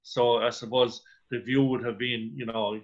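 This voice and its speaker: An Irish man giving his view as a sports pundit, conversational and halting, with repeated phrases. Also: mid-range voice, flat delivery. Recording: dull lo-fi radio link.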